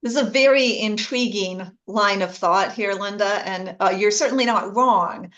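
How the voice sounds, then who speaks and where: A woman's voice: mid-range voice, moderate pace, fairly bright tone. A woman presenter answering an audience question in an academic discussion, careful and thoughtful in her wording.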